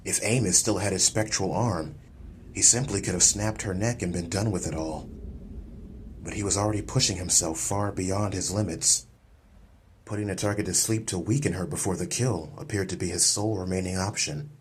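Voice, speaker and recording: A man's voice: low-pitched, moderate pace, slightly rough. A man reading scripted, dramatic action-fantasy narration as an audiobook narrator.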